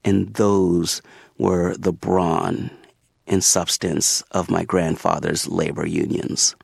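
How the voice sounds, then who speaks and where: A man being interviewed as an author, answering in a measured, thoughtful and deliberate way from a radio studio. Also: deep voice, steady tone, creaky, breathy edge, no echo.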